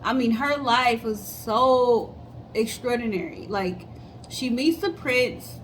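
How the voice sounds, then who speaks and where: A woman's voice: a little high, slow, a little hiss or hum, poor audio. A woman co-hosting a casual storytelling show, chatting conversationally and speaking straight to viewers. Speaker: co-host of a storytelling guessing show.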